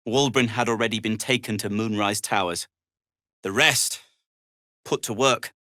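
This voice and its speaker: A man's voice: on the low side, sharp tone, dry recording, clean studio sound. A male actor playing a world-weary, prim gnome in a video game, wry and exasperated, with formal wording. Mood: rueful. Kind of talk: video game character dialogue.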